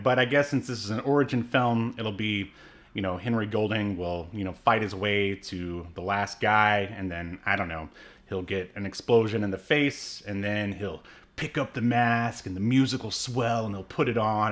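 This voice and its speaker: A man's voice: lowish voice, normal speed, fairly bright tone. A man co-hosting a casual movie podcast, rambling through his points with many fillers and asides. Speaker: podcast co-host. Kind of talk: casual chat about movies.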